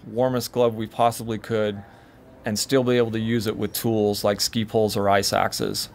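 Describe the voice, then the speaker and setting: A man's voice: medium pitch, a little mellow. A man, the designer of a glove, explaining in a plain, matter-of-fact way how it was built. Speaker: product designer.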